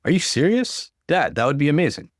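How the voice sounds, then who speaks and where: A man's voice: a little high, sing-song, a little fast, no echo, studio quality, pitched above the performer's own voice. A man voicing an earnest, grateful young researcher speaking to parents in a narrated story. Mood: amazed.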